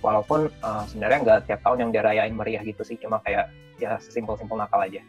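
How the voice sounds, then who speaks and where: Fast-talking young man, full of fillers. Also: on the low side, steady tone, lo-fi sound, faint music behind. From South Tangerang. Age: 20s. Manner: thinks aloud, many fillers and hedges. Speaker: interviewee. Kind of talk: casual street interview answers.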